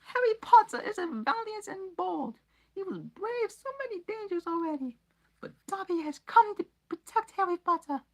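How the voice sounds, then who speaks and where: A woman voicing a small, anxious male house-elf with a very high, squeaky voice who speaks of himself in the third person. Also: expressive, moderate pace, rough recording. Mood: urgent pleading. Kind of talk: amateur audiobook reading.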